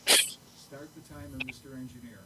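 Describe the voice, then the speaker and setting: A man's voice: medium pitch, fairly even intonation, normal speed. A man giving brief technical announcements, recorded far off with hum and clicks. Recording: distant, very noisy with hum and clicks.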